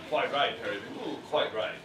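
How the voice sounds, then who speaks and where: An actor playing a cantankerous, child-hating older merchant villain in stage musical style, pompous, blustering and wordy. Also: male voice, mid-range voice, sing-song, normal speed, crowd noise, close to an everyday voice. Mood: smugly courteous. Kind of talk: theatrical musical stage acting. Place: theatre stage. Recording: echoey distant stage recording with music.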